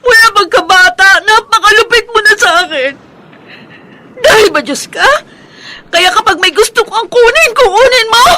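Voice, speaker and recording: An actress playing an emotional adopted daughter in a Filipino radio drama, with a Filipino accent. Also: female voice, very high-pitched, pushed and bright, a little hiss or hum, full character voice. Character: grief-stricken adopted daughter, anguished and bitter at God. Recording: music under the voice.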